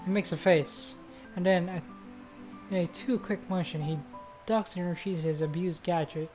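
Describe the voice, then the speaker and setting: A woman's voice: fairly low pitch, fairly even intonation, moderate pace, music in the background. A woman streamer reading visual novel narration aloud, now and then stumbling and rereading phrases.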